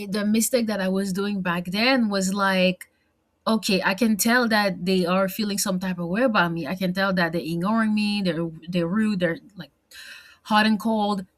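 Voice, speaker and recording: A young woman with a French accent talks straight to the camera in a long personal monologue. Her pitch sits in the middle range for a woman. She rambles, repeats words and leans on fillers, now and then slipping into French. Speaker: vlogger.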